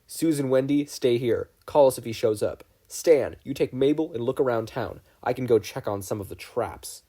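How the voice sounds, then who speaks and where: A man reads the part of an older adventurer great-uncle in a storybook reading. He is firm and commanding, giving orders in a husky voice. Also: medium pitch, animated, normal speed, mellow, lightly acted.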